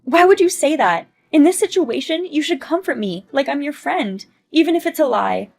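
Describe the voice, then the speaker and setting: Whiny entitled mom, a woman puts her on: shrill, pleading, loud. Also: expressive, moderate pace, creaky.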